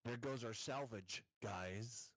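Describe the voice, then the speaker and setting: A man's voice: mid-range voice, slow, no room sound, clean studio sound. A man playing a tabletop game, cracking wise in casual chat with the group. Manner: wisecracking.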